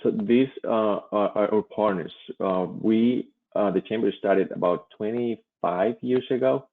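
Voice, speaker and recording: A man from Colombia, a native Spanish speaker, presenting slides at a virtual event in a halting way, pausing often. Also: fairly low pitch. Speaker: chamber vice president, presenter. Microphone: online video call. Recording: muffled phone-like call audio.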